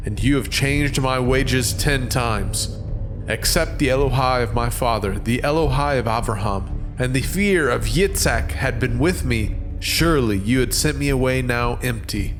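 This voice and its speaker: Guy playing a wronged bible herdsman, medium pitch, indignant and impassioned, faint music behind. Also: a bit bright. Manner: impassioned, declamatory. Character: indignant, wronged younger herdsman, biblical son-in-law. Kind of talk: dramatized scripture reading.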